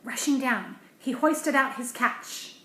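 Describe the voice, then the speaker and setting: A woman with a slightly high voice reads a picture book aloud to children at a slow, patient pace. She keeps breaking off into gentle, hushed asides about the pictures. The recording is roomy and echoey. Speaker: storybook reader. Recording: roomy echo, faint background hiss.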